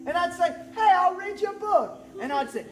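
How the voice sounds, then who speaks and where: A man's voice: very high-pitched, animated, moderate pace, voice pushed up. A man performing as a cheerful young farm boy, the farmer's son, telling a story to a live audience with bubbly exclamations. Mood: storytelling. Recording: roomy echo, distant mic, faint music.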